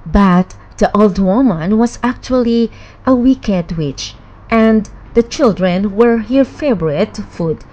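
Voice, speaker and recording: A woman narrating a children's bedtime fairy tale in a measured, expressive storytelling manner. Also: mid-range voice, highs cut off.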